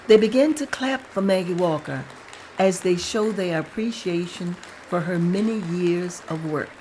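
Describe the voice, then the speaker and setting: A woman narrating the stage directions at a table read in a plain, steady way. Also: on the low side, a little slow, slight background noise, low-quality recording.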